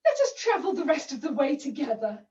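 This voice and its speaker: Pantomime maid, woman performer: lowly, plaintive, melodramatic, very high and swooping. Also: big pitch swings, quick pace, warm tone. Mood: indignant, distressed. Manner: florid, old-fashioned, plaintive speech. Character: Elsie, a lowly, overwrought, melodramatic young runaway maid. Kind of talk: theatrical pantomime acting. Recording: echoey distant muffled video-call audio.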